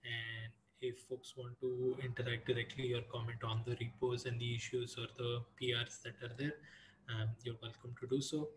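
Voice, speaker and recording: A man's voice: medium pitch, level tone, moderate pace, fairly bright tone, rough recording. A man hosting an online community call in a casual, informal and somewhat hesitant, rambling way. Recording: online call with clear background noise.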